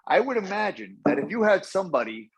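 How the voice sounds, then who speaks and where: A man's voice: high-pitched, sing-song, quick pace, breathy edge, poor audio. A man taking part in an online class discussion, eager and thinking aloud. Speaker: class participant. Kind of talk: casual discussion.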